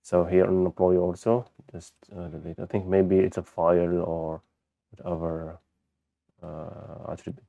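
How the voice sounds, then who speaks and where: A man who speaks as a gives an unscripted software walkthrough, haltingly thinking aloud as he demonstrates. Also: low voice, flat delivery, vocal fry, no room sound. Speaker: software tutorial presenter. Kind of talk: unscripted screen-recorded tutorial walkthrough. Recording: dull, lo-fi close microphone.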